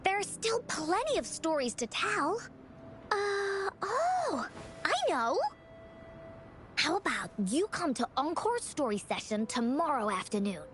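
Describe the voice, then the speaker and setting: A woman voicing a spirited, imaginative little girl storyteller in a video game, with a bubbly, childlike and expressive delivery. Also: very high voice, measured pace, faint music behind, exaggerated voice. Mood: playful, encouraging.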